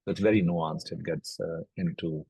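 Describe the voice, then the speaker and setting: A middle-aged man, a native Urdu speaker, explaining medical cases in a measured, step-by-step way during a recorded interview. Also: sits low, fairly even intonation, vocal fry, dark tone, slightly rough, no room sound. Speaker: oncologist and platform co-founder.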